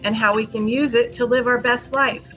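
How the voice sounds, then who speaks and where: Woman podcast host, lots of ums, bright higher voice. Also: fairly even intonation, normal speed, faint music behind, low-quality recording. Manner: hesitant, many ums, soft-spoken openness. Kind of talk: casual chat.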